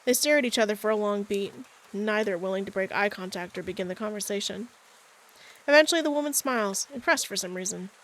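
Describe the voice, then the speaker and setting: A woman reading a visual novel aloud as narrator, breaking off with playful, self-mocking asides, with soft music behind. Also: medium pitch, quick pace, poor audio. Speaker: gaming video creator.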